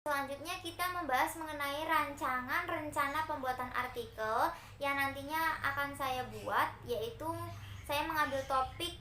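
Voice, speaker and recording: A woman's voice: sits high, bright, forceful tone, echoey room, slight background noise. A young woman from Central Java giving a class presentation, explaining step by step to her classmates with frequent hesitation fillers. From Central Java, Indonesia. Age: young adult. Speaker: university student presenter.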